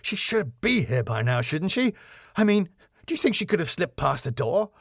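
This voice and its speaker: A man's voice: fairly high pitch, sing-song, normal speed, gravelly, a bit bright, breathy edge, dry recording, voice pushed up, full character voice. A fussy sitcom club manager, acted out by a man, pompous and easily panicked. He exclaims primly in a raised, indignant voice, recorded close and dry. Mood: anxious, suspicious. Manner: flustered, indignant, prim exclamations.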